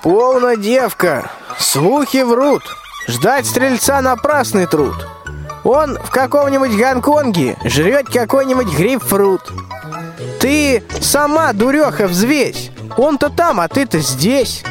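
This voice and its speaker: A man acting a high-voiced, blustering tsar. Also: very animated, slow pace, over music, full character voice. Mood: coaxing, threatening. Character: blustering lovestruck old tsar, bossy and wheedling. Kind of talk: rhymed folk-tale radio play, amateur school production.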